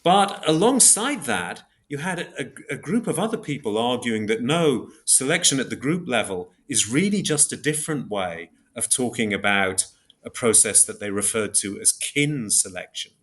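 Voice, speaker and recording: A man explaining ideas as an interview guest in a measured, precise academic way, often repeating and reformulating phrases as he thinks. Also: mid-range voice, animated, a bit bright.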